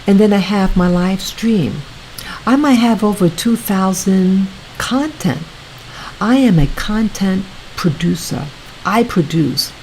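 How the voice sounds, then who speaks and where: A woman hosting her own video channel, talking casually to her viewers in a soft, soothing voice, halting often and repeating herself. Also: medium pitch, slight background noise, low-quality recording. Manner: slow, repetitive, halting with many restarts. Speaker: YouTube channel host.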